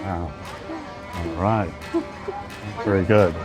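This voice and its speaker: Very deep-voiced man asking questions. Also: steady tone, takes it slowly, over music. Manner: friendly, prompting questions, joking. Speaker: interviewer, host. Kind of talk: casual interview. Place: coffee shop in a mall.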